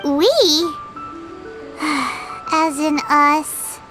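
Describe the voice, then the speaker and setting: A woman voicing a tiny, childlike, indignant fairy companion in a video game, in a squeaky cartoonish voice. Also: flat delivery, extremely slow. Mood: doubtful. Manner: squeaky, indignant, speaks of self in third person. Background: loud background music.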